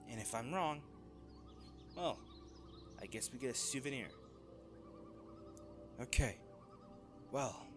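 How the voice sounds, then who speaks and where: A male actor plays a cocky treasure hunter in an adventure audio drama. He talks in a wry, flippant way, unhurried but with very lively swings of pitch. Music plays clearly behind him. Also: natural voice. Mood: reassuring, hopeful. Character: cocky, wisecracking male treasure hunter trekking through jungle ruins. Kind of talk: dramatic audio roleplay addressed to a companion.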